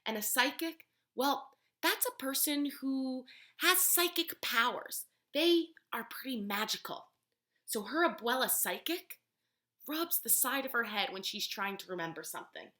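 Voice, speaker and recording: A woman teacher reading a storybook aloud to young children, pausing to explain words and ask questions. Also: high-pitched, expressive, bright, forceful tone. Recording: roomy, echoey room sound.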